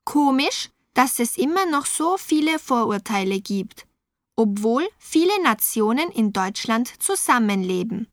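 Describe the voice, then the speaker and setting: A woman with a fairly high voice interviews guests at an unhurried pace, spelling out every word for learners. Her voice is recorded dry and close, with no room sound. It has the feel of a scripted course recording. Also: sing-song, no room sound. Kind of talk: scripted language-course dialogue. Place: studio.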